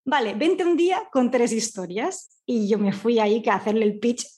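A woman's voice: fairly high pitch, moderate pace, dark tone. A woman with a Spain Spanish accent telling her story as an interview guest, in an informal, rambling way that often doubles back on itself. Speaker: guest, audio fiction scriptwriter.

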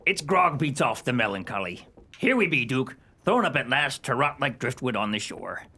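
A grizzled old pirate in a radio spoof. The voice is creaky and sharp-edged, full of hearty, salty sailor talk and nostalgia. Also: male voice, medium pitch, very animated, moderate pace, heavily acted. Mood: wistful, jovial. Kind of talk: theatrical radio pirate comedy acting.

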